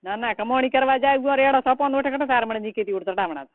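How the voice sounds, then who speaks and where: A woman's voice: high-pitched, quick pace. Marwari village lady on a phone call, middle aged, kinda scolding, thin tinny line w some noise. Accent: rural Rajasthani Marwari. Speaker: caller, relative giving advice. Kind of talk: private phone conversation. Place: recorded telephone call.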